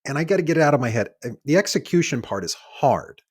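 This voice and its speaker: A man's voice: a little high, very animated, fast, slightly rough. A man in his forties hosting an interview podcast, earnest and encouraging. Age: 40s. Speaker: podcast host. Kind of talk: conversational interview.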